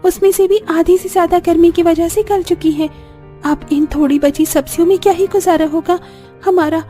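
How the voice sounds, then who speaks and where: Woman acting plaintive farm wife, extremely high-pitched. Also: warm tone. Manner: lamenting, plaintive. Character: weary, discouraged young farming daughter-in-law in a poor village.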